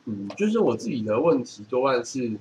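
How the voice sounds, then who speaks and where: A man's voice: mid-range voice, very animated, brisk, darkish tone. A middle-aged man with a Taiwanese Mandarin accent chatting casually as a podcast co-host, explaining things in a matter-of-fact way. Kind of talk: casual chat. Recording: clearly noisy background.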